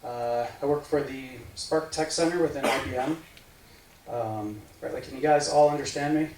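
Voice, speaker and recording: A man's voice: mid-range voice, moderate pace, a little mellow. A man in his forties from the United States giving a casual meetup tech talk, rambling and full of fillers like "right, like". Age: 40s. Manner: rambling, filler-heavy, says "right, like" often. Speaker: meetup speaker. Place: meeting room. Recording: echoey room from far off, faint noise.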